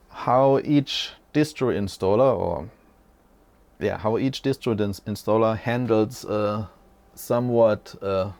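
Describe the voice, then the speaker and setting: Slow, gravelly-voiced man chatting about tech. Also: mid-range voice, a little mellow, dry recording. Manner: rambling, self-correcting, chatty. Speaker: tech channel host. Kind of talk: unscripted casual tech walkthrough. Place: small home room, indoors.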